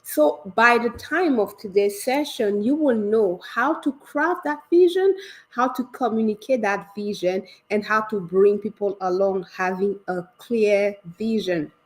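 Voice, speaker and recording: A woman coach leads a live session with eager, encouraging energy. Her voice is a bit raspy from a cold coming on. She speaks at a middle pitch and peppers her talk with questions. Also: a little slow, low-quality recording. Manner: energetic, warm and encouraging, asks many questions. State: developing a cold. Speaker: leadership coach hosting a live series.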